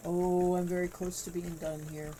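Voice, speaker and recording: A woman streaming live while she spins yarn, chatting casually with viewers in a soft, calming voice and laughing easily. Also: lowish voice, normal speed, a little hiss or hum. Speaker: livestream host spinning yarn. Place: home crafting room with spinning wheel.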